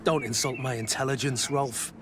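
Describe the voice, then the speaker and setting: A man acts out a veteran soldier in a video game, brooding and suspicious. He talks bluntly in few words at a middle pitch, over clearly audible outdoor noise. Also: fairly even intonation, moderate pace, a bit bright, close to an everyday voice. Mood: tense. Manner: terse, blunt. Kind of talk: video game dialogue acting.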